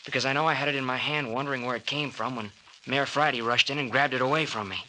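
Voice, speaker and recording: An anxious young suspect in a vintage radio mystery. His voice is very bright and tense, full of hesitant, earnest protest, over a slightly hissy old recording. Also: mid-range voice, fast talker, low-quality recording. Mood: confused, anxious. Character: flustered, defensive young male murder suspect. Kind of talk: 1930s-40s radio drama acting.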